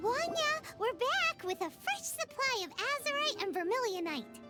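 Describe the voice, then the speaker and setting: A woman voicing a tiny, eager female fairy companion in a video game, with a very high, squeaky, bouncy voice. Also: very animated, moderate pace. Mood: cheerful. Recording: game audio with loud music.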